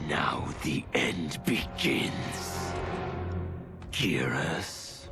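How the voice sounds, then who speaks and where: A man's voice: very deep voice, animated, drawn-out words, full character voice. A man playing a grandiose conquering villain in a fantasy video game, gloating and pompous. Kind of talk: cinematic villain game acting. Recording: very loud music behind.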